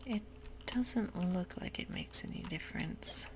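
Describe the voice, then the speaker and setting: A woman speaks in a slow, gravelly whisper, hushed and soft, with many little ums. Her voice sits a touch low, and keys click behind her as she plays a game at her desk. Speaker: ASMR gaming creator. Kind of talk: whispered ASMR gameplay narration.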